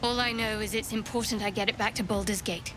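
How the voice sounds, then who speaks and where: A woman playing a guarded, secretive young cleric in a video game, speaking in a reserved, measured, dryly wry way. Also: mid-range voice, fairly even intonation, background noise, lightly acted. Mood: guarded, uneasy. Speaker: video game companion character. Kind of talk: dramatic game dialogue.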